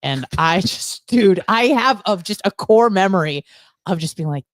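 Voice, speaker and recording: A woman's voice: medium pitch, lively intonation, moderate pace, no echo. A woman co-hosting a radio show, chatting casually and telling candid, gossipy stories with rambling asides.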